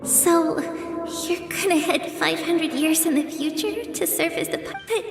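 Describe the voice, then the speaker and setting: An earnest, outspoken young child from a fantasy game. The voice is extremely high and speaks slowly, with blunt, childlike emotion. It comes from a little way off in an echoing hall over music. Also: female voice, gravelly, heavily acted. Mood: upset, questioning. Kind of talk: dramatic video game voice acting. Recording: distant, echoey hall with music behind.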